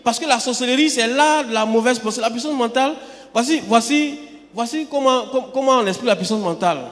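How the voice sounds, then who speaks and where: High-voiced preacher in a reverberant church. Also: male voice, fast talker, a bit bright. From Côte d'Ivoire. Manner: emphatic, repeats words and restarts often. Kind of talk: sermon. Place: church sanctuary. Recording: long hall reverb.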